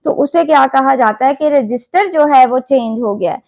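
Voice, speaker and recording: A woman's voice: high-pitched, moderate pace, creaky, darkish tone. A woman with a Pakistani Urdu accent, an English instructor explaining concepts in a recorded lecture, mixing English terms and asking rhetorical questions.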